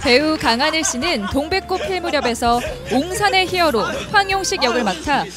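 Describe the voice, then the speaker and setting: A woman's voice: sits high, expressive, moderate pace. A woman announcer reading a scripted award citation at a ceremony. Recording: loud music under the narration. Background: loud music.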